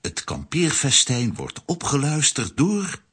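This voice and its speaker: A man's voice: mid-range voice, huge pitch swings, slow, fairly bright tone, slight background noise, full character voice. A man narrating a children's audio drama in a theatrical, scripted storytelling style. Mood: wry. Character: storyteller narrating a comic adventure.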